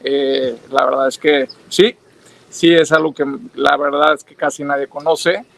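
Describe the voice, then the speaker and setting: A man's voice: fairly high pitch, level tone, a little slow, creaky. A Mexican man giving long, reflective interview answers, hesitating often with fillers and restarts. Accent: Mexican Spanish. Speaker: interview guest.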